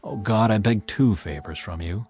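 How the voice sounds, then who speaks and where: A man voicing a weary, humbled sage who pleads earnestly with God in a halting, dramatic way, in Bible audio. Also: fairly low pitch, darkish tone, slightly rough, pitched below the performer's own voice. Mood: earnest pleading. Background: background music.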